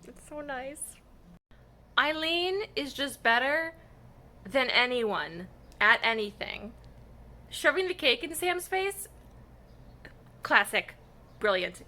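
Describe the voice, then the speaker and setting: A woman reading vlogger chatting casually and expressively, with halting thoughts that trail off. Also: high-pitched, lively intonation, pushed and bright. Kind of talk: casual reaction vlog chat.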